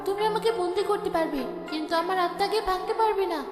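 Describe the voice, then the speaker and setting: Really high young fairy girl, proud n earnest, cartoon drama, echoey hall, music. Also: fast talker. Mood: defiant. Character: defiant young female fairy, proud and pleading. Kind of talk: cartoon fairy-tale acting.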